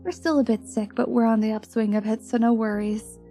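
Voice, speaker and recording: A woman's voice: on the high side, steady tone, brisk, mellow, soft background music. A woman channel host chatting casually and earnestly to her listeners, with an appreciative manner.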